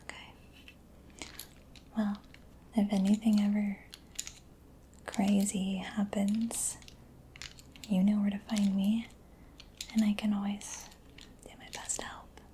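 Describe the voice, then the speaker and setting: A woman speaking in a soft, breathy whisper for an ASMR roleplay, playing a gentle, reassuring mechanic, close to the microphone. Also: medium pitch, little pitch movement, takes it slowly, long pauses, a little hiss or hum, low-quality recording.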